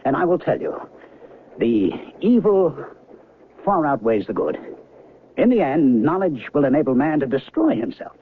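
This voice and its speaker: An actor playing an earnest, disillusioned, restless man in 1970s radio drama, speaking theatrically and emphatically with halting pauses. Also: on the high side, sing-song. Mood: grave, impassioned. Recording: thin phone-like old radio recording, noisy.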